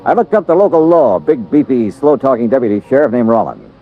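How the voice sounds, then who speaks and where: A wry freelance insurance investigator tells his case in a dry first person, with a lively pitch that rises and falls. Faint music sits behind him. It all comes through the muffled sound of a vintage radio show. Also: a little fast. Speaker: narrator and lead detective. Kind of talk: 1950s radio drama acting. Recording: dull lo-fi old radio recording with music.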